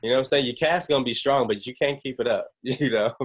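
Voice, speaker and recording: A man's voice: mid-range voice, quick pace. Young guy hosting a call, chatty, acts out voices, laughs a lot. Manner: animated, acts out quotes, laughs. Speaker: host. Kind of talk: casual group call chat.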